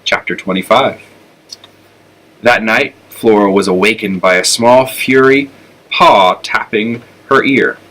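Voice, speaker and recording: A man reading a children's story aloud with expressive narration. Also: lowish voice, measured pace, poor audio. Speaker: elementary school storyteller.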